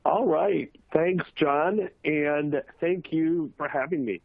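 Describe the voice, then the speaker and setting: A man with a cold, sounding hoarse, giving a relaxed, conversational webinar talk on a video call with frequent fillers. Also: on the high side, takes it slowly, dry recording. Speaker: webinar presenter. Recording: tinny phone-like line, dry.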